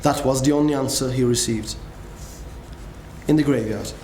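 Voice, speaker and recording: A man narrating a classic story with grave, measured delivery in a dramatic reading. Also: medium pitch, a little mellow. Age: young adult. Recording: echoey room, faint noise.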